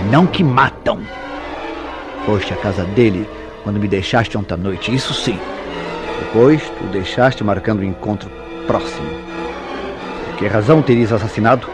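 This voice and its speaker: An actor playing a jealous, tormented lover in a radio soap opera, accusatory and declamatory, with formal diction and a Brazilian accent. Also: male voice, lowish voice, lightly acted. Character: jealous, tormented male lover interrogating his beloved. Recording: radio drama with prominent background music.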